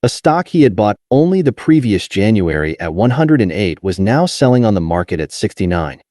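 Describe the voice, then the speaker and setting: A man narrating a nonfiction audiobook in a measured, clearly articulated reading. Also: lowish voice, very animated, warm tone, no room sound, clean studio sound.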